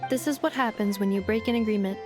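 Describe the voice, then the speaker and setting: A woman voicing a calm, strict robot caretaker in a video game, with a formal, measured, matter-of-fact delivery. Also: mid-range voice, over loud music, natural voice. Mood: strict, precise.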